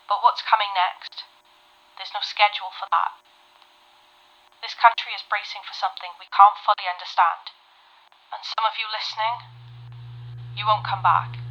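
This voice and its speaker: A woman playing a solemn, compassionate 1930s British television announcer in an audio drama, poised and deliberate. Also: mid-range voice, bright, forceful tone, lo-fi sound.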